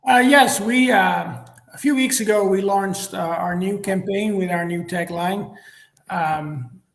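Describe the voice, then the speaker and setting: A middle-aged man with a Dutch accent, a native Dutch speaker, gives measured, structured answers as an interview guest. His voice sits high for a man and has a lot of vocal fry. The room around him is clearly echoey. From Netherlands. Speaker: interview guest, marketing executive. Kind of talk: explanatory interview talk.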